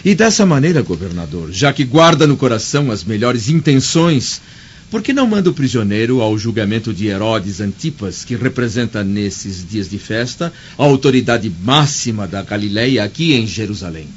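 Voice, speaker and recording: A man's voice: on the low side, lively intonation, normal speed, muffled recording, slight background noise, close to an everyday voice. An actor playing a composed, principled Roman senator in a radio drama, speaking formally and declaiming his lines. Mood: firm, diplomatic.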